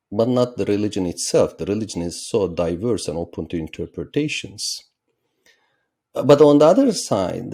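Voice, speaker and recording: A middle-aged man from Turkey speaks as an interview guest. His voice sits low and warm, and he explains in a measured, lecturing way, pressing hard on key words. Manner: measured, lecturing, with rising emphatic stresses. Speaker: political science professor, guest.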